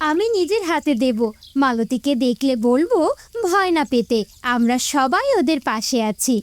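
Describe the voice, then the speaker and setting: A woman voicing an earnest, kind-hearted village child in an animated moral story. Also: sits high, moderate pace. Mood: eager, earnest.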